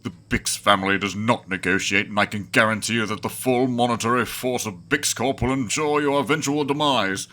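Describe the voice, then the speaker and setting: A man's voice: medium pitch, a little fast, few pauses, pushed and bright, lightly acted. A man playing a pompous, demanding, wealthy business mogul in a sci-fi audio drama, talking in a haughty, theatrical way. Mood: indignant, haughty.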